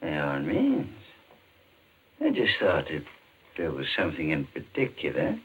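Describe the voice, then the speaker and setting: An actor playing a mysterious, formally courteous pawnshop keeper and chess devotee in a 1940s radio mystery. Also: male voice, a bass voice, wildly swooping pitch, husky, exaggerated voice. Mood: polite, soft. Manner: formal, deliberate, unctuously polite. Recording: tinny, band-limited 1940s radio recording.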